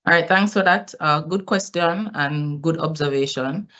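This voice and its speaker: Through slightly dull, lo-fi call audio, a company executive speaks at a middling pitch. The delivery is explanatory, with formal business wording, answering questions on an earnings call. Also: normal speed, pushed and bright.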